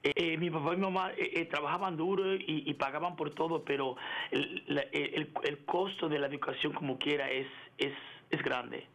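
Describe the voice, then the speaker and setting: A middle-aged man in a radio interview, speaking haltingly with many fillers and restarts and slipping into English words. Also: fairly high pitch, fairly even intonation, a bit bright. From United States. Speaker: interview guest, engineering executive. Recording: radio broadcast.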